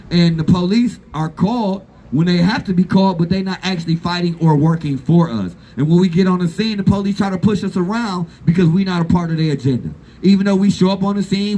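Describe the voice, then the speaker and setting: A man's voice: high-pitched, a little mellow. A man in his thirties giving a blunt, profane and impassioned speech at an outdoor rally, repeating phrases for emphasis. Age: 30s. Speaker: activist speaker at a rally. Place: outdoor gathering.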